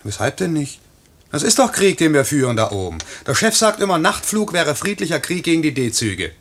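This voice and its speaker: Lively, boyish young night pilot. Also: male voice, a little high, normal speed, full character voice. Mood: boastful, playful. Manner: teasing, dreamy storytelling. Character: boyish, swaggering young newlywed night pilot, teasingly tender. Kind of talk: 1950s German radio play acting.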